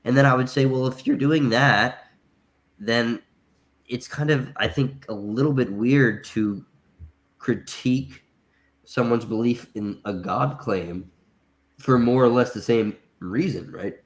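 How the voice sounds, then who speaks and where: A man's voice: mid-range voice, measured pace. A man with a nasal, congested voice, calling in to a livestream and talking casually, with many restarts and hesitations. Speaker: caller.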